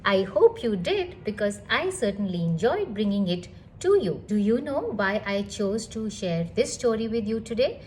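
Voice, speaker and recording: A woman reading a picture book aloud to children in an expressive, engaging storytelling style. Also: medium pitch, normal speed, few pauses, slight background noise. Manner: animated, addresses child listeners with questions.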